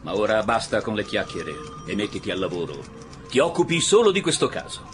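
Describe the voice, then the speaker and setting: An actor playing an authoritative, blustering police chief in an Italian radio drama, insistent and commanding. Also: male voice, on the low side, very animated, fairly quick, music in the background.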